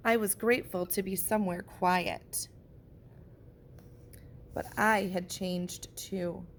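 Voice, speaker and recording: A woman, a teacher, reading a picture book aloud and pausing to point out what the pictures show. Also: mid-range voice, steady tone, slight background noise. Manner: gentle, slow storybook delivery with pauses.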